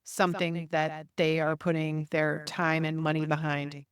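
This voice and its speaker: A woman with a somewhat low, smooth and clear voice hosts a podcast interview, friendly and upbeat as she asks questions. Her voice sounds close and dry. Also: flat delivery, a little slow, dry recording. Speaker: podcast host. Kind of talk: interview hosting.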